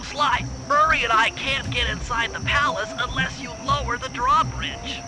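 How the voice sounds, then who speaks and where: A man's voice: very high-pitched, fairly even intonation, normal speed, music in the background. A man voicing a nerdy, cautious turtle mastermind in a cartoon game, with a nasal voice and precise, wordy, earnest briefings. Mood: instructive. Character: nerdy, cautious male turtle mastermind, planning heists over radio.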